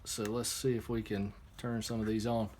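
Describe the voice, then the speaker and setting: A man giving a casual, unscripted hands-on product demonstration, explaining step by step in a halting way. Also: on the low side, little pitch movement, a little mellow. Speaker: product reviewer. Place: indoor workshop. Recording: clear background noise, room ambience.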